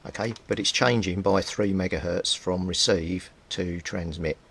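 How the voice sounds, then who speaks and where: Deep-voiced man, informal repair narration. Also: unhurried, vocal fry. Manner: thinks aloud while working. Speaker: hobbyist radio repairer and video maker. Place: indoor cluttered home workbench. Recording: rumbly background noise.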